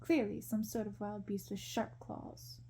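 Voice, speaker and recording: A woman's voice: medium pitch, level tone, a little slow, warm tone, slight background noise, lightly acted. A woman voicing a moody, impatient prince with a curt manner in a storybook. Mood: impatient, sarcastic. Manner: curt, commanding.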